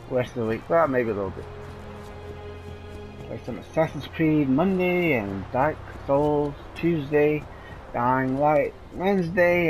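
A man's voice: medium pitch, poor audio. Man casually commentating over game music. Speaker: video game player.

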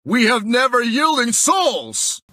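A man's voice: very high voice, big pitch swings, takes it slowly, bright, forceful tone, a little hiss or hum, heavily acted. An actor playing a proud, defiant warrior chieftain defending his homeland, with heroic, declamatory battle lines for a video game. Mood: proud resolve.

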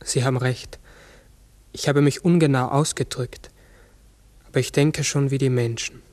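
An actor playing a large talking raven in a 1960s radio drama, brooding, uncertain and often correcting himself. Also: male voice, mid-range voice, steady tone, darkish tone, dry recording, close to an everyday voice. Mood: pensive, uncertain. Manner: halting, brooding, self-correcting. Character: human-sized talking raven, pensive, uncertain, forgetful.

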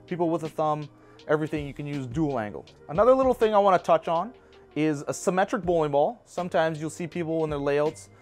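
A man in his thirties in a bowling pro shop, casually walking through a how-to with practical personal asides. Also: a little high.

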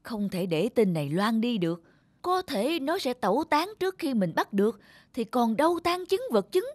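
A stout, middle-aged landlord's wife from a cartoon. She is shrewd and cautious, giving firm counsel with very lively intonation. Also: a little high, moderate pace, no echo. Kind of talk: cartoon dialogue.

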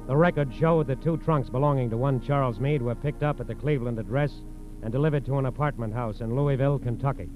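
An American man playing the grave narrator of a 1940s radio crime drama, measured and emphatic. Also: medium pitch, lightly acted. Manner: measured, emphatic, repeating phrases. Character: grave male narrator of FBI case files. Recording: dull vintage radio recording, music behind.

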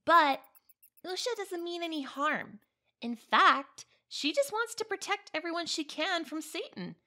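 A woman's voice: very high-pitched, animated, measured pace, bright, forceful tone, exaggerated voice. A woman playing an eager, cheeky young female from heaven in an audio drama, perky and coaxing. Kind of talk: audio roleplay drama acting.

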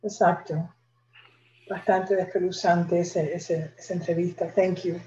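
A woman's voice: fairly low pitch, little pitch movement, moderate pace, dark tone, a little hiss or hum. An older woman moderating a panel discussion over a video call from a room at home. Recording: muffled, roomy video-call sound.

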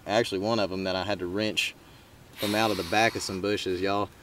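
A man, co-hosting a fishing channel and explaining gear in a relaxed, rambling way with many fillers. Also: sits low, fairly quick. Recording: slightly distant mic with faint noise.

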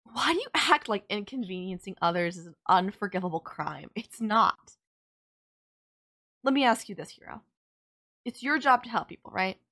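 A woman's voice: medium pitch, lively intonation, normal speed, pauses often, bright, forceful tone. Woman acting as a sardonic but caring villainess. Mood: concerned, earnest. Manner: blunt, teasing, exasperated yet nurturing. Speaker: voice actor. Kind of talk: audio roleplay drama, intimate one-sided dialogue.

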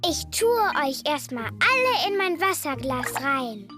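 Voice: sits high, sing-song, a little slow. A performer voicing a cheerful, bossy little girl piglet in a cartoon, eager and childlike, with music behind the voice. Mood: eager, playful.

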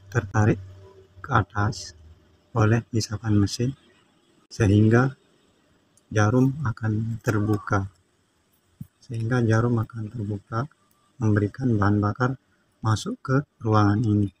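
A man's voice: on the low side, little pitch movement, unhurried, pauses often, slight background noise, rough recording. Man explaining a repair step by step. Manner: plain, explanatory, with frequent fillers and tag words. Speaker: small engine repair instructor. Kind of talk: hands-on repair tutorial.

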